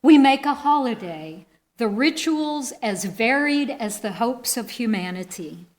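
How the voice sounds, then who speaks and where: Slow-paced woman leading worship. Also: a little high, animated, a little hiss or hum. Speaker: worship leader. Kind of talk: responsive reading in a church service.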